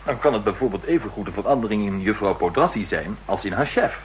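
An actor playing a doubting older committee member, rambling and dismissive, in a 1960s radio drama. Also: male voice, on the low side, expressive, quick pace, lightly acted. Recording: faintly noisy old 1960s radio recording.

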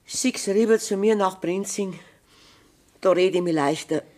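An actress with a Bavarian accent playing a wronged, plain-spoken, middle-aged farmer's wife, worn down yet defiant, in a radio drama. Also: female voice, mid-range voice, a little slow, a little mellow, lightly acted. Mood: weary, despairing. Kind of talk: German dialect radio drama acting.

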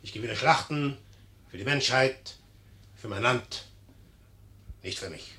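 An actor in a 1950s German radio play playing a young Napoleon-like general, bold, mocking and cynical, declaiming theatrically. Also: male voice, medium pitch, slow pace. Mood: wry, guarded. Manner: theatrical, declamatory, swinging from hushed to emphatic.

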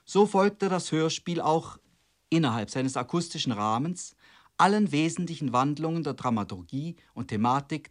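A man delivering a formal scripted radio lecture in the style of the late 1960s, in a measured, emphatic and rhetorical manner. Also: mid-range voice. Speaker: head of radio drama department introducing a play.

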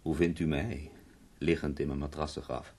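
An actor playing an ailing, unhappy bedridden writer in a radio drama, theatrical and self-pitying with sardonic wit. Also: male voice, very deep voice, little pitch movement, normal speed, a little mellow, breathy edge, exaggerated voice. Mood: courteous.

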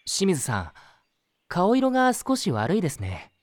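A man playing a gentle, polite, concerned schoolteacher in an anime audio drama, speaking earnestly and reassuringly. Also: high-pitched, huge pitch swings, a little slow, dry recording, heavily acted.

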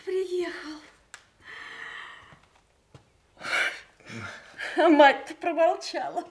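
A woman's voice: extremely high pitch, unhurried. A woman acting an anxious, affectionate mother who coaxes her family, in a Soviet radio play. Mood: delighted.

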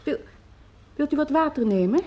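A woman's voice: high voice, lively intonation, normal speed, lo-fi sound. A woman playing a fussy, solicitous landlady of students in a radio drama, nagging and repeating her words, on an old recording. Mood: fussing, coaxing.

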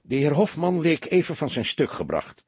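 Expressive, husky male audiobook narrator. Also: fairly high pitch, moderate pace, dry recording. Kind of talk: expressive scripted narration of a detective story.

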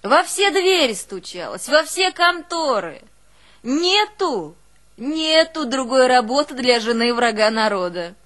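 A woman's voice: high-pitched, sing-song, full character voice. An actress playing a long-suffering village mother, telling her hardships in a plaintive, colloquial dramatic monologue for an audio play. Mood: anguished. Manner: plaintive, colloquial, unhurried, swinging between hushed and keening. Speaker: stage actress in a one-woman audio play.

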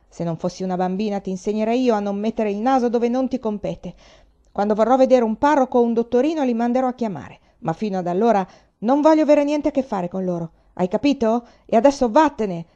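A woman voicing a big, hot-tempered, bullying older man in an audiobook, booming and firing off blunt questions. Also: a little fast, mellow, highs cut off, lightly acted. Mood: furious. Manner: blustering, interrogating, exclamatory. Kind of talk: audiobook character voice.

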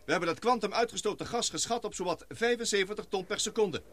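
An actor playing an earnest, excitable scientist and expedition leader in a Dutch radio play, speaking formally and precisely. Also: male voice, sits high, quick pace, noisy background, lightly acted. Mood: grave, explanatory.

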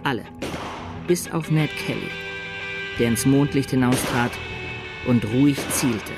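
A male narrator with a mid-range voice delivers scripted dramatic storytelling. Music plays clearly behind him. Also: mid-range voice, measured pace.

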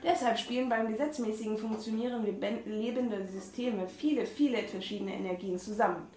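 A woman's voice: medium pitch, steady tone, darkish tone. Woman reading aloud in an echoey room. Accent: German regional dialect. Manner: reads text aloud haltingly, amused. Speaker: podcast co-host reading from a book. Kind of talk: casual chat, reading aloud. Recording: echoey room with faint noise.

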